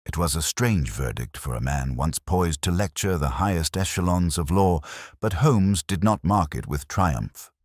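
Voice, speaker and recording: A man narrating a literary detective story from a script in a measured, solemn manner. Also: deep voice, animated, darkish tone, no echo, clean studio sound. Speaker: audiobook narrator.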